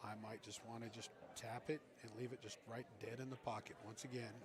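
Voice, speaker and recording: A man commentates a live pool match, chatty and excitable. His voice sits at a middling pitch. A loud crowd murmurs around him. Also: a little fast. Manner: chatty, excitable, coaching players aloud. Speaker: pool commentator and team captain.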